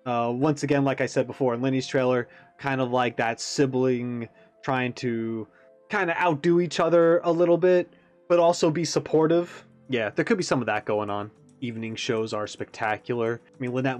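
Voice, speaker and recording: A man giving a casual, animated reaction commentary, thinking aloud as he analyzes like a game fan. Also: mid-range voice, normal speed, soft background music.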